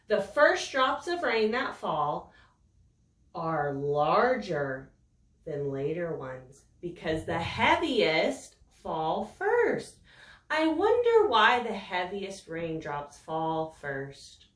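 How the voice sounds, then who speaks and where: A woman reading a picture book aloud to children in a slow, expressive, sing-song storytelling voice. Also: fairly low pitch, recorded from a distance. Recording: roomy echo, voice set back from the mic.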